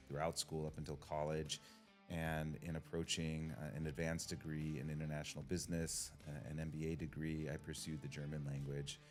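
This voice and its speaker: An American man from the Los Angeles area, interviewing a guest and asking long, layered questions. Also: rumbling low. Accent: American English. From United States, Los Angeles area. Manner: asks long, multi-part questions. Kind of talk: casual interview conversation.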